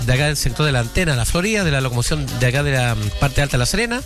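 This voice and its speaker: A man's voice: mid-range voice, very animated, rapid-fire, music in the background. A Chilean man hosting a local radio show live, chatting informally with greetings and shop plugs in a folksy, rambling, repetitive way. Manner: folksy, colloquial, rambling, repeats himself.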